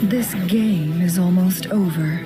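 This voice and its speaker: A woman's voice: on the low side, takes it slowly, over loud music. An actress playing a composed, confident woman strategist with a teasing touch in a video game. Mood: calm, assured. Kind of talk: dramatic game voice acting.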